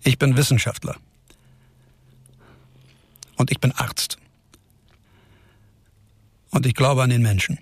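An actor playing a resolute scientist and doctor in a radio drama, speaking solemnly and emphatically in a public-speech style. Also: male voice, mid-range voice, steady tone, slow, pauses often, breathy edge, dry recording. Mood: defiant, solemn. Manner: solemn, emphatic, repeating phrases. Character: resolute male scientist and doctor defending his experiment. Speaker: scientist and physician character.

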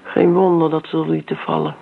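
A bitter grown daughter with a creaky edge, on an old narrow-band radio recording with faint noise. She needles in a dry, sarcastic way, every remark pointed and cool, as in a radio play. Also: deep voice, level tone, a little fast. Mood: sarcastic, reproachful. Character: bitter, sardonic grown daughter, coolly needling an older woman. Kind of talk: Dutch radio play acting.

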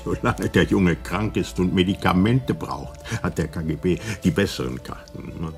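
An actor playing a blunt, stern intelligence interrogator in a radio drama, confrontational and deliberate in delivery. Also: male voice, sits low, vocal fry, a little mellow, faint music behind. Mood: urgent.

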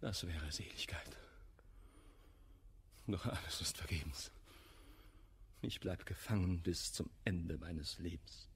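An actor playing a jealous, hot-tempered gentleman in love, declaiming bitter rhymed verse in a classical radio comedy. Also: deep voice, slow, long pauses, breathy edge, exaggerated voice. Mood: despairing.

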